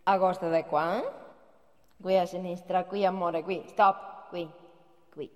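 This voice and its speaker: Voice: medium pitch, fairly even intonation, takes it slowly, soft background music, close to an everyday voice. A performer playing a brash, teasing streetwalker with a Roman accent in a radio drama monologue. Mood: bantering. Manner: teasing, provocative, colloquial. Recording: reverberant hall.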